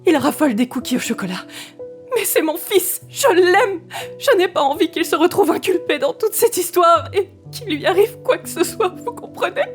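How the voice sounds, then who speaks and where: A man's voice: very high voice, expressive, moderate pace, a bit bright, soft background music. A man playing a daft, distraught housewife and mother in a comedic audio drama. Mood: tearful, pleading. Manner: plaintive, melodramatic.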